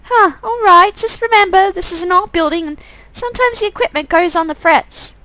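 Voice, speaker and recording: A woman's voice: very high-pitched, brisk, sharp tone, dry recording, voice pushed up, rough recording. A woman voicing a caring but evasive young shop worker in a visual novel game, earnest and defensive. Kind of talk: acted visual novel dialogue.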